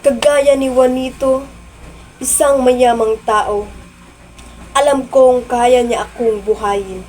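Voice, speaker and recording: A woman with a Filipino accent playing a vain, wealthy young woman torn over love, in a theatrical monologue with deliberate dramatic pauses. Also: sits high, fairly even intonation, a little mellow, a little hiss or hum. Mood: calculating, earnest. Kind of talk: theatrical student monologue in Tagalog.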